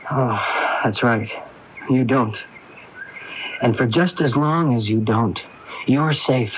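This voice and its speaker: A man's voice: medium pitch, slow, a little hiss or hum, natural voice. A man playing an evasive, guilt-ridden husband, sardonic and testy, in a radio drama. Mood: agitated, defensive. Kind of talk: 1980s radio drama acting. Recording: dull AM radio broadcast recording.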